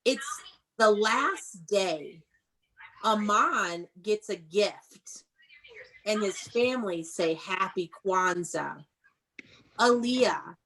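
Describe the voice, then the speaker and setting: A woman's voice: medium pitch, poor audio. A woman teaching young children in an online read-aloud class, prompting them with questions and encouragement in an instructive way.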